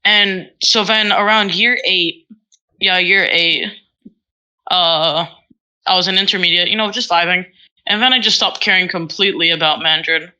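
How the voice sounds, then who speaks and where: Teen girl from Singapore, podcast co-host; very bright, rambling and self-mocking. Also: on the low side, normal speed, clean tone, poor audio. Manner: rambling, self-mocking storytelling with many fillers. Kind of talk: casual chat.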